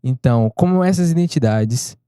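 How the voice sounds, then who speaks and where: A man co-hosts a podcast and asks his guest questions in an easygoing, slightly hesitant way. His voice is mellow and dark-toned, recorded close with no room sound. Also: mid-range voice, expressive, moderate pace, clean tone, no room sound. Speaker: podcast co-host. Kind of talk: casual interview questions.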